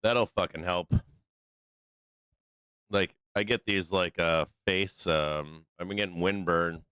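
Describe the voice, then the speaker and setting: A hoarse Midwestern American man in middle age, talking low and loose on his live trading stream. His worn voice still comes through very clean and close on a dry, tight mic. He rambles, swears freely and leans on ums. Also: steady tone, slow, a bit bright, no echo. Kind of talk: casual profane live-stream chat.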